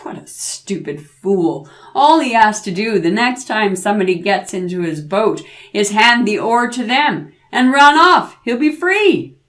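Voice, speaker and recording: A woman's voice: mid-range voice, animated, measured pace. A woman voicing a hot-tempered, blustering devil in an oral folk tale. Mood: scornful. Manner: loud, blustering exclamations. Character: roaring, hot-tempered devil grandson, gloating and bossy.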